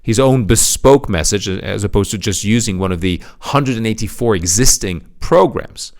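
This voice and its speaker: A man's voice: on the low side, moderate pace, a little mellow. A man giving a motivational sales talk, pressing his points with emphatic swings. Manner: emphatic, rising and falling for effect. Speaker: speaker presenting to an audience.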